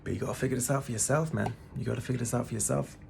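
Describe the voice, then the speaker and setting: A man's voice: mid-range voice, very fast, creaky, noisy background. A man in his thirties giving a casual, laid-back spiritual talk to the camera, often calling the listener "man" and swearing now and then. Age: 30s. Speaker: spiritual vlogger.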